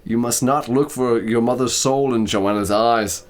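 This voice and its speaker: A man voicing a dignified old father cat in a storybook, speaking gravely and formally with a British accent. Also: medium pitch, unhurried, close to an everyday voice. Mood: grave, sighing. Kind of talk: storybook reading with character voices.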